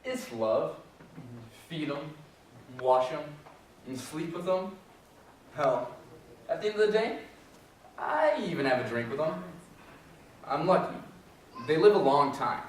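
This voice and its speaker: A man's voice: a little high, big pitch swings, slow, pauses often. Distant echoey stage recording, guy doing a plainspoken devoted circus trainer, faint noise. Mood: fond. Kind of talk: readers theater monologue.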